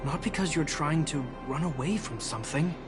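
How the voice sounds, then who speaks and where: A man voicing a protective, cautious older brother in a video game, with an earnest, measured delivery. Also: on the high side, close to an everyday voice. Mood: earnest, gentle. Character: protective, dutiful older brother, cautious young male fighter. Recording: voice under clear background music.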